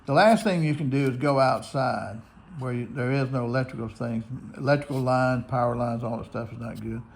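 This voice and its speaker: A man's voice: mid-range voice, a little fast. An American man giving a casual, unscripted tutorial, explaining things step by step. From United States. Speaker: shortwave radio hobbyist host. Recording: clear radio static and hiss.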